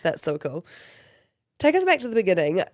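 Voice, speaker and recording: A woman's voice: mid-range voice, sing-song, a little fast, no echo. A woman hosting a casual podcast interview, sounding nasal from a chest infection, with a New Zealand accent, chatty and bubbly. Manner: bubbly, chatty, many "mm" backchannels. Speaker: podcast host.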